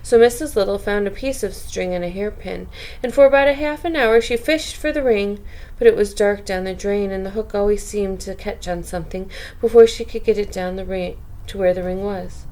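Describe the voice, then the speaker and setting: A woman reading a children's book aloud as a bedtime storyteller, expressive, with chatty asides to young listeners. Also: medium pitch, brisk.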